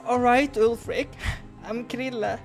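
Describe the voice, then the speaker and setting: A man's voice: steady tone, takes it slowly, full character voice. A man playing a young, budding adventurer in a visual novel, nervous yet plucky, with a flustered and earnest manner. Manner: flustered, earnest, higher-voiced. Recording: music behind the voice.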